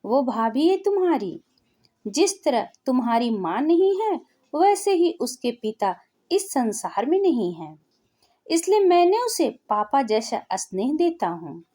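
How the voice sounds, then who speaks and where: Woman narrator as a stern, scolding widowed father, high-pitched. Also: sing-song, measured pace, warm tone, full character voice. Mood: angry reproach. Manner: reproving, lecturing. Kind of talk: Hindi family storytelling.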